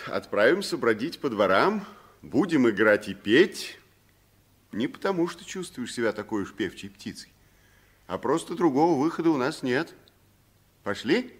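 An actor playing a good-natured, plain-spoken wandering tramp in a 1960s radio play, folksy and expressive. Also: male voice, fairly high pitch. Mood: warm, reflective.

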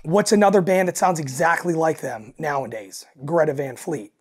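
A man with a raspy voice, talking casually as a podcast guest and telling stories with acted-out asides. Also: a little high, moderate pace, darkish tone. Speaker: guest, alternative country singer and frontman.

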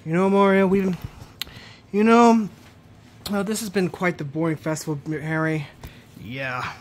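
Young man, high voice, halting toy-show talk. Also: fairly even intonation, a little hiss or hum. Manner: rambling, halting, many restarts. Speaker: puppeteer voicing all the plush characters. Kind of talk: improvised plush-toy cartoon.

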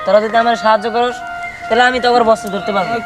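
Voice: medium pitch, fairly even intonation, very fast, close to an everyday voice. An actor playing a bold, righteous undercover agent with a commanding, streetwise manner, in an amateur short film. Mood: persuading. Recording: music behind the dialogue.

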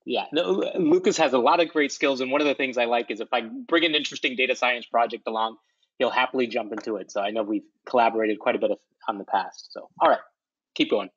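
A man's voice: medium pitch, fast talker, fairly bright tone, slightly rough, dry recording, muffled recording. A man hosting a technical webinar, friendly and lightly joking as he introduces his guest. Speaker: webinar host, data scientist.

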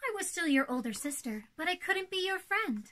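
An elegant older-sister princess, acted out by a young woman reads a children's storybook. The voice is high and bright. The delivery is poised and theatrical, as befits proper royalty. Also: very animated, a little fast, a little hiss or hum, exaggerated voice. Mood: earnest, prim. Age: young adult. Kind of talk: storybook read-aloud for children.

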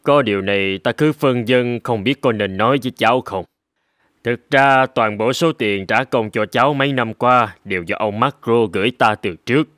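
A man's voice: medium pitch, normal speed, a bit bright, no room sound, clean studio sound, lightly acted. A man voicing a frank middle-aged employer in a cartoon, speaking in a hesitant, confiding manner. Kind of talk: animated cartoon dialogue.

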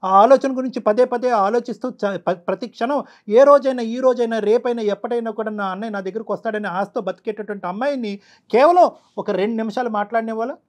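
Middle-aged man, high-pitched, rapid talker, emphatic counsellor, repeats himself. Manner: emphatic, forceful, repeats phrases. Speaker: counsellor doctor on the show. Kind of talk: emotional counselling talk. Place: studio.

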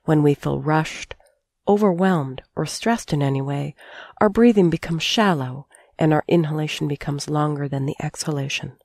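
Woman, deep-toned, soft relaxed timbre, calm meditation teacher, measured phrasing, long pauses. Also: vocal fry, no echo, studio quality. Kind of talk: guided sleep meditation narration.

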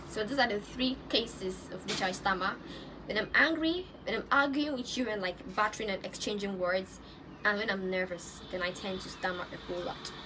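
A young woman with a Nigerian accent, chatting casually to camera in a get-to-know-me vlog, stammering and laughing as she talks outdoors. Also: medium pitch. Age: young adult. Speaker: vlogger. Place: outdoors on a balcony above rooftops. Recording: outdoor background noise. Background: street ambience.

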